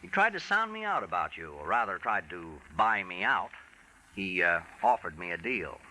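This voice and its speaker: An actor playing a smooth, sly con man posing as a private detective in a 1940s radio mystery. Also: sits low, sing-song, moderate pace, bright, forceful tone, full character voice. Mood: sly, pressing. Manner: sly, smooth-talking, hesitating coolly. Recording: noisy vintage 1940s radio recording.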